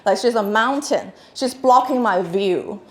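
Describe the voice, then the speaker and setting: A woman's voice: fairly high pitch, expressive, unhurried. A woman in her thirties from Hong Kong, with a Hong Kong accent, giving a casual talk on stage with lots of fillers and restarts. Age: 30s. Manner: chatty, laughing, many fillers and restarts. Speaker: speaker. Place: large hall with audience. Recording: echoey hall.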